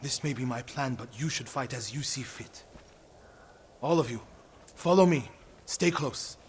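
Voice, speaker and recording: A man's voice: medium pitch, a little slow, slight background noise, natural voice. A man playing an earnest, solemn warrior monk of feudal Japan in a video game. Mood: rallying. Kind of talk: dramatic video game acting.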